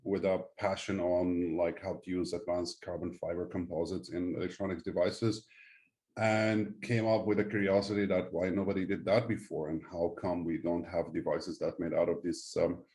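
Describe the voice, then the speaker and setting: Low, mellow-voiced male founder, Syrian-born. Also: level tone. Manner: halting, non-native phrasing. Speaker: startup founder, guest. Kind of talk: conversational interview.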